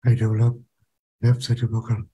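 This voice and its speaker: A man from Bangladesh, a patient, introducing himself in a short clip with slow, effortful speech. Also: medium pitch, completely flat delivery, dark tone, slightly rough, muffled recording.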